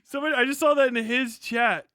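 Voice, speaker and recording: A man's voice: extremely high pitch, steady tone, fast, bright, forceful tone, no echo, clean studio sound. A man giving casual, mocking live reaction commentary as a streamer, incredulous and heavily profane.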